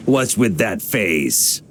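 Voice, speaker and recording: A man's voice: mid-range voice, background noise, heavily acted. A man playing an easygoing, roguish grandmaster of knights in a video game, with a laid-back, teasing manner.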